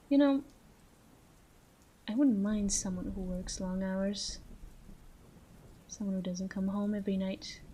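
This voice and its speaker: A woman's voice: mid-range voice, mellow, slight background noise, close to an everyday voice. A woman playing a flirty, self-assured female mafia boss in a roleplay audio drama, coy and teasing as she speaks straight to the listener. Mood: sultry teasing. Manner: coy, teasing, drawn-out phrasing with breathy asides.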